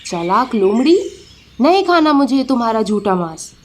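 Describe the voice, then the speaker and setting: A woman voicing a hot-tempered, greedy male lion in a children's animated story, threatening and demanding. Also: fairly high pitch, moderate pace, slight background noise. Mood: angry, threatening.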